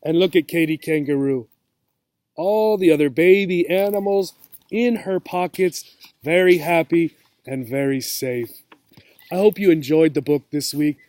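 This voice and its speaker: A man reading a children's storybook aloud as a teacher would, with simple, deliberate phrasing and asides to young listeners. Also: a little high, darkish tone, no room sound.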